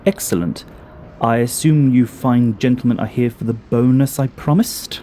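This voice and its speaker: A man's voice: mid-range voice, moderate pace, mellow, slight background noise, lightly acted. A man playing a formal, mock-courteous villain boss in an audio drama, coolly menacing and commanding. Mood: smug politeness. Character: menacing male villain boss, coolly polite, mad schemer.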